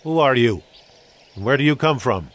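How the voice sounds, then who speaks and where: An actor playing a stern, commanding leader of ancient Israel, declaiming in a dramatized scripture recording. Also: male voice, mid-range voice, lively intonation, normal speed, fairly bright tone, lightly acted. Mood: suspicious. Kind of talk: dramatized scripture audio acting.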